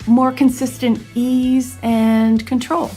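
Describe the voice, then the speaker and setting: Woman, 60s, fairly high voice, calm reflective testimonial, soft music underneath. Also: takes it slowly. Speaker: singing student.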